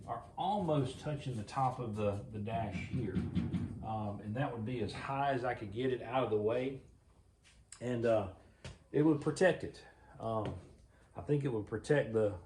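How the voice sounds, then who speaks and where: A man, casually talking through his own jeep restoration in a workshop vlog, folksy and rambling, a little raspy this morning. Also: fairly low pitch, slight background noise. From Mississippi. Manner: folksy, rambling, thinking aloud.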